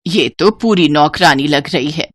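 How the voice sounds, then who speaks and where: A woman's voice: on the low side, moderate pace, fairly bright tone, no echo. A woman voicing a harsh, bossy mother-in-law in a cartoon story, scolding and commanding. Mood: mocking.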